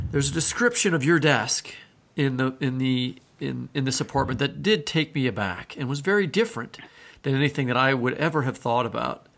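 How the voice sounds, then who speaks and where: A middle-aged American man with a strongly creaky voice of average pitch. He talks like a podcast interviewer, conversational and halting, doubling back on words before getting to his point. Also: a bit bright. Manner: halting, repeats words, circles toward the question. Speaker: podcast co-host and writer.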